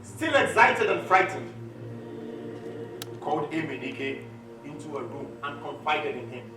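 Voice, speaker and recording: A man's voice: fairly high pitch, big pitch swings, a little slow. A man with a Nigerian accent narrating a one-man play as theatrical, scripted storytelling. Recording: echoey hall, distant mic, music behind.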